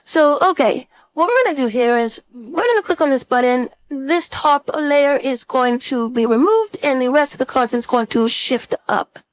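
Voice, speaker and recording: A woman instructor giving a casual screen-share software tutorial, thinking aloud informally as she demonstrates. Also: high-pitched, animated, normal speed, hardly any pauses, dry recording. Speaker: design instructor.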